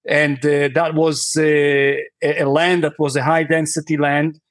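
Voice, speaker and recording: Podcast guest: man from Israel, somewhat high voice, dry close sound, talks haltingly with lots of uhs. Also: level tone, dry recording. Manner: hesitant, many fillers and restarts. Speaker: podcast guest, real estate investor. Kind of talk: conversational interview answers.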